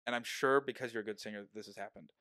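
A man's voice: lowish voice, fairly even intonation, rapid-fire, fairly bright tone. A young man hosting a podcast in casual chat, rambling and joking, often breaking off mid-sentence. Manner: rambling, self-interrupting, joking.

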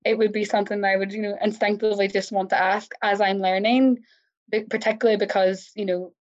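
A woman's voice: medium pitch, flat delivery, fairly quick, highs cut off. A woman with an Irish accent, a researcher answering interview questions reflectively. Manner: reflective, thinks aloud with many fillers. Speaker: researcher guest. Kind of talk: conversational interview.

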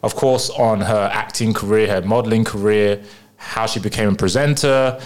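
A man hosting an interview podcast, welcoming his guest and asking questions in a friendly way, with frequent laughs and quick "yeah"s. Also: lowish voice, steady tone. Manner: friendly, laughs often, brief affirmations. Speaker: podcast host. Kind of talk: casual interview.

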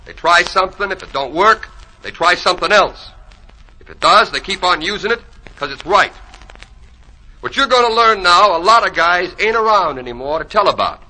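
An actor playing a tough, plain-talking American sergeant, slangy and commanding, in a 1940s radio drama. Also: male voice, sits high, lively intonation, normal speed, pushed and bright, full character voice, low-quality recording. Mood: commanding, stern.

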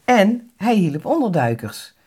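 A woman's voice: medium pitch, big pitch swings, measured pace, dark tone, breathy edge. A woman co-narrating a podcast in a scripted documentary style.

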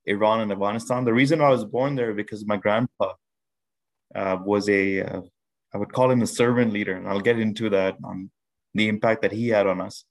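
A man from Pakistan in his thirties, a podcast guest telling his life story in a measured way with many restarts. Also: lowish voice, fairly even intonation, rough recording. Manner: measured, with frequent restarts and fillers. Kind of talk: reflective storytelling interview.

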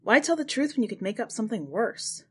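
Expressive woman narrator with very lively pitch. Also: fast talker, husky. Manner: expressive, dramatic phrasing. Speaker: podcast host and fiction narrator. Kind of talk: scripted narration of literary short fiction.

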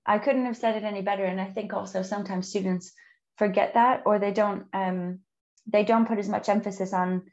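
A woman who is a native English speaker, hosting a conversational interview in a measured, encouraging way with frequent ums. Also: medium pitch, fairly even intonation, a little mellow, lo-fi sound. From outside the UK. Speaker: podcast host.

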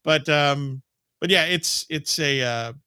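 Bright-voiced man in his late fifties. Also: a little high, slow, smooth, no room sound, clean studio sound. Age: late 50s. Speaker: podcast guest. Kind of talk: casual reminiscing chat.

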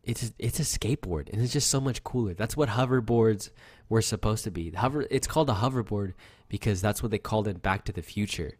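A young man with a fairly low, youthful-sounding voice, chatting loosely and swearing as he rambles through stories. The sound is dry and close, with no room around him. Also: a little fast, a little mellow, no echo. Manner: rambling, loose storytelling with lots of fillers and swearing. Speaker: podcast co-host. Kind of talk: casual chat.

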